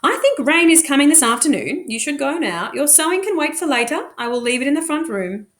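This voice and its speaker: A woman voicing a brisk, stubborn, motherly Victorian housekeeper in a storybook, chiding and emphatic. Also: sits high, big pitch swings, a bit bright, pitched above the performer's own voice. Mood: brisk, bossy.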